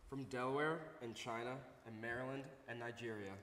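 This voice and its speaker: A man's voice: mid-range voice, fairly even intonation, brisk, a bit bright. A young man performing a scripted stage recitation as a student reader. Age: young adult. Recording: echoey noisy hall, distant stage sound.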